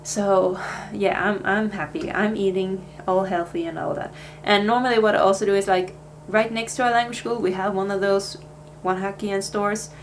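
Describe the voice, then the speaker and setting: A woman's voice: mid-range voice, fairly even intonation, normal speed, hardly any pauses, a little hiss or hum. A young Swedish woman with a Swedish accent, chatting casually in a life update vlog, with plenty of fillers and restarts. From Sweden. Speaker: vlogger.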